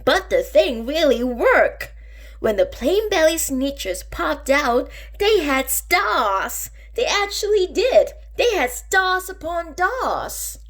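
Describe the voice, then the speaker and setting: High, animated woman reading a storybook. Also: takes it slowly. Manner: dramatic, emphatic phrasing for children. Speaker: children's storybook reader. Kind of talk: expressive read-aloud of a rhyming picture book.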